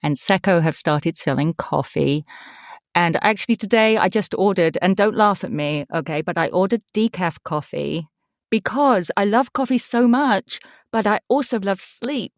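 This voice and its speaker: A woman's voice: mid-range voice, normal speed, no room sound, studio quality. A woman from East Anglia with an English accent, chatting casually as a podcast guest in a playful, self-deprecating way. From East Anglia, England. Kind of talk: casual chat.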